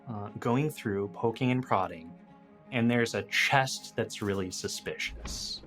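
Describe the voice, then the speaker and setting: A man playing a tabletop roleplaying game, talking casually with hesitant, measured pauses. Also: on the low side, a bit bright. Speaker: guest player running the monster. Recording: faint music behind. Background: background music.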